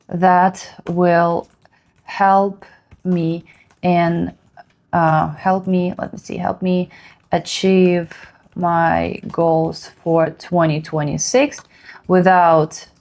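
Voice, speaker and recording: A woman's voice: lowish voice, a little mellow. Woman thinking aloud. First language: Russian. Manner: halting, thinks aloud while typing, many fillers. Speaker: productivity vlogger. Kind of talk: screen-share tutorial.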